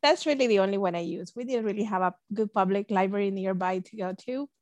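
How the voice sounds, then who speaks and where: A woman's voice: mid-range voice, fast, a little mellow, no room sound. A woman from El Salvador, a librarian guest telling her story in an earnest, conversational way.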